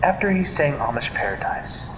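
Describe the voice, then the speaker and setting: A man narrating a scripted story in a theatrical, deliberate manner, like an old-time radio serial. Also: on the high side, sing-song. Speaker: narrator of a dream story series. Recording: echoey reverberant hall, loud music behind. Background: music.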